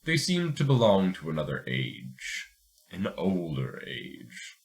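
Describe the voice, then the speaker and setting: Very deep-voiced man reading aloud. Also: huge pitch swings, slow. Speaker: podcast host reading a story aloud. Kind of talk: dramatic story narration and casual commentary.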